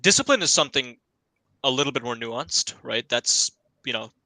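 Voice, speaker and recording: Very bright, forceful young man, dry sound. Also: mid-range voice, expressive, moderate pace, gravelly, no room sound, low-quality recording. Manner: informal, thinking aloud with frequent tag questions. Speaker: self-learner guest on an education panel. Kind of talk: casual, reflective panel answer.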